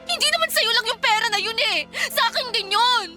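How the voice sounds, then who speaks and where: An exasperated young fiancée in a Filipino radio drama. Her voice is very high and bright, with emphatic, scolding questions. Music plays softly behind her. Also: animated, a little fast, heavily acted. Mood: angry, hurt. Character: exasperated young fiancée confronting her boyfriend over money.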